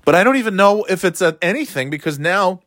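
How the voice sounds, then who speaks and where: A middle-aged man with a slightly stuffy nose, hosting a rambling solo chat, meandering and breaking off mid-thought, then getting playfully excited. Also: high voice, fast, no echo, studio quality. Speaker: podcast host.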